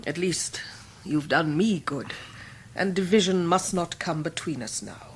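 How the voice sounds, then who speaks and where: An English actress playing a wise older Victorian aunt, measured and affectionate, in a radio drama. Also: female voice, fairly low pitch, lively intonation, slightly rough. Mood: reflective, tender. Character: gruff but tender, wise old Victorian great-aunt. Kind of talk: 1990s radio drama acting.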